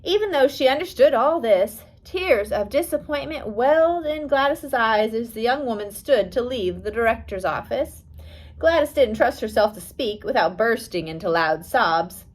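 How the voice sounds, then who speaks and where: A woman's voice: fairly high pitch, lively intonation, moderate pace, low-quality recording. A woman reading a scripted biography aloud as an audiobook, with careful storytelling delivery. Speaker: audiobook reader.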